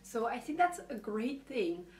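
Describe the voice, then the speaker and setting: A woman with a low voice giving casual advice in a video, hesitant and full of fillers. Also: very animated, measured pace, a little mellow. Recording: video replayed into a room mic, echoey and distant.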